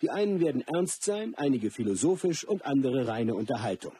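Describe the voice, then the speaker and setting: An actor playing a proud, self-assured martial artist and film star in a radio play, speaking earnestly and deliberately. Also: male voice, mid-range voice, sing-song, warm tone, breathy edge. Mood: resolute. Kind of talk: scripted monologue in a radio play. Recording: music behind the voice.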